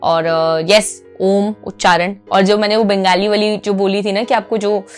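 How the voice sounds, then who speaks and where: A woman's voice: mid-range voice, steady tone, normal speed, faint music behind. A woman with an Indian accent gives informal instruction to viewers, emphatic, repeating key phrases, checking in often and switching between two languages. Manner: mixes Hindi with English phrases. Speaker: anchor giving voice coaching.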